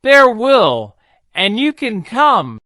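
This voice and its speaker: A woman's voice: fairly high pitch, sing-song, drawn-out words, fairly bright tone, no echo, studio quality, voice pushed up, heavily acted. A woman voicing a gallant, reasonable male knight from a storybook, in an agreeable manner.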